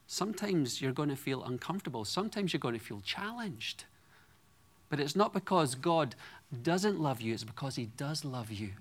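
A Scottish man in his forties preaching a sermon to a congregation, earnest and personal with light humor. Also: medium pitch. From Scotland. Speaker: guest preacher.

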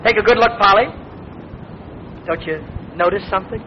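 Casting: male actor as self-important eager youngster, high-pitched and boastful, vintage radio comedy, muffled noisy recording. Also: normal speed, close to an everyday voice. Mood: playful bragging. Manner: boastful, pompous, stammering when flustered. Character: cocky, self-important eager young man playing big executive. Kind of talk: 1940s radio comedy acting.

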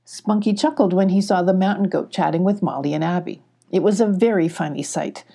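A woman's voice: lowish voice, fairly quick, gravelly, warm tone. A woman reading a children's story aloud as an audiobook narrator.

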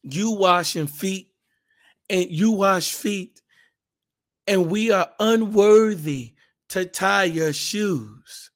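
A man with a hoarse, raspy voice, hosting a casual Bible podcast and leading a fervent spoken prayer. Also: high-pitched, extremely slow. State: hoarse, raspier than usual.

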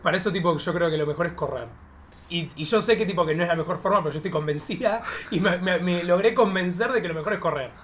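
High-voiced, fast-talking Argentine man. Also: a bit bright, slight background noise. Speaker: drag queen guest. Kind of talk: casual chat.

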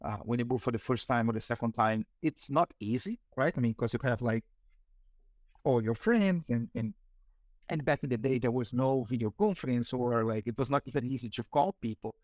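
A Brazilian-accented man in his forties, talking through an interview. He is hesitant and full of fillers and restarts, at a middling pitch. The sound is dry and close. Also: brisk, darkish tone, no echo. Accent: Brazilian Portuguese. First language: Portuguese. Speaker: guest, marketing executive. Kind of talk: casual interview.

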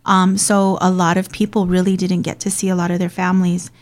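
A woman's voice: fairly low pitch, flat delivery, fairly quick. A woman who grew up in Hawaii, hosting and talking in a casual, earnest way with frequent ums. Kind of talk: casual conversational talk.

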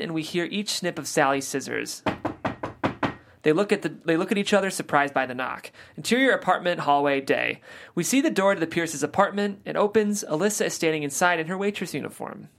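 A reader calmly reading stage directions aloud at a table read. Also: medium pitch, creaky. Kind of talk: scripted narration.

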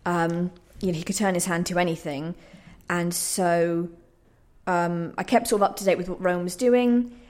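Young female writer for an interview, lowish pitch, earnest and somewhat hesitant, conversational delivery. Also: steady tone, normal speed, a little mellow. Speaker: playwright, interview guest. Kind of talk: casual interview chat.

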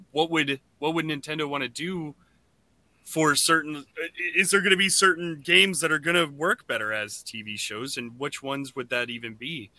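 Very bright, sharp-voiced man. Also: medium pitch, no room sound. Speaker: podcast co-host. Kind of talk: casual panel discussion.

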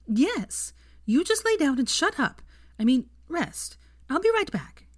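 Audiobook role: young woman, acted by a female narrator, bossy yet caring, raised pitch, insistent, emphatic, dry recording. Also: sing-song, a little slow, no echo. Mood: brisk, anxious.

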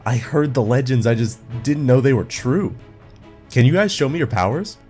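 A man's voice: medium pitch, animated, normal speed, darkish tone, soft background music, lightly acted. A man playing a firm, plain-spoken guard leader in a sci-fi audio drama. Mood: surprised, curious. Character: stern male guard leader in space commune.

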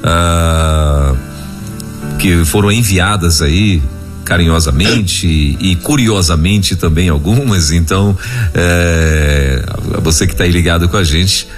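A man hosting a live radio program, chatting jovially, laughing often and reading listener questions aloud. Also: deep voice. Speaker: radio host.

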